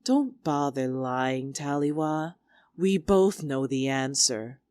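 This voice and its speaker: A woman's voice: extremely low pitch, animated, takes it slowly, a little mellow, no echo, clean studio sound, exaggerated voice. A woman playing a domineering, scornful young female squad leader in a dramatic argument, biting, sarcastic and commanding. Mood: cold, cutting. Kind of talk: dramatic two-character argument performance.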